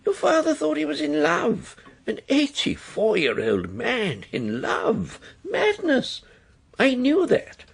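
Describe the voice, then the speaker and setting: A creaky, wavering old mother. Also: high voice, huge pitch swings, slow, exaggerated voice. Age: older. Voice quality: creaky, breathy, swooping voice. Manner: rambling, wavering. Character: elderly mother, fussy and wandering. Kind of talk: radio drama acting.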